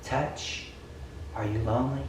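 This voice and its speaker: A man's voice: medium pitch, steady tone, measured pace, slight background noise. A man reading story game dialogue aloud, with casual asides to his fellow reader. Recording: very echoey room, far from mic.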